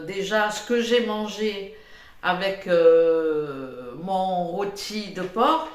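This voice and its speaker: An older woman with a head cold, chatting casually in a cooking vlog, rambling with many pauses and fillers. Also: mid-range voice, reverberant. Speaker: cooking vlogger.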